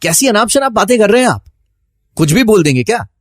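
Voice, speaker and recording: A man's voice: sits high, sing-song, brisk, no echo, clean studio sound. A man acting as a troubled, suspicious husband who narrates his own story in a dramatized first-person style. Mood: angry, betrayed.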